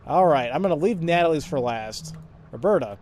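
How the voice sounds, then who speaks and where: A man's voice: on the high side, lively intonation, brisk, a little mellow, slight background noise. A man giving casual let's play commentary, thinking aloud in a rambling, self-deprecating way and mumbling through text he reads. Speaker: let's player.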